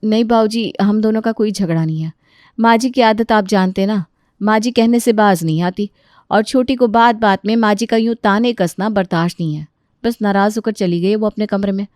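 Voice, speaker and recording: A woman's voice: medium pitch, moderate pace, a little mellow, no room sound, clean studio sound, natural voice. A woman as a patient, weary elder daughter-in-law. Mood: weary, aggrieved.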